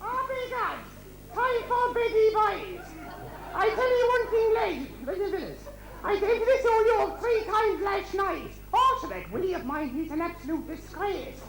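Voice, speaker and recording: A man's voice: very high-pitched, expressive, unhurried, fairly bright tone, a faint crowd, full character voice. A man playing a gossipy, scolding Irish countrywoman in an amateur comic pantomime, rambling and full of exclamations. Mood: gossipy, exasperated. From Ireland. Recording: echoey amateur stage recording.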